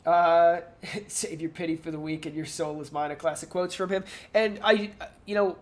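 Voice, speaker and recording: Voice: medium pitch, steady tone, normal speed. A podcast co-host chatting casually about pop culture, speaking in a hesitant, rambling way and often repeating words.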